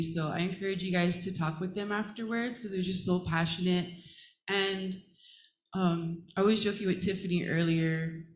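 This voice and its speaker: A woman from Hawaii speaking as a panelist and assistant professor on a conference stage, earnest and warm, with frequent pauses and fillers. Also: fairly low pitch. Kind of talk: panel talk to a live audience. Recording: roomy hall echo.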